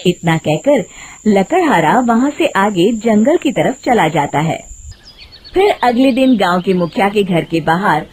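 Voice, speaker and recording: A woman's voice: medium pitch, animated, a little slow, a little mellow. A woman narrating a scripted moral tale in a dramatic storytelling style.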